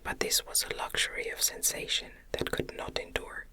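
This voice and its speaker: A woman reads a gothic novel aloud in a breathy, unvoiced ASMR whisper, with gentle, deliberate phrasing. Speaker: audiobook reader.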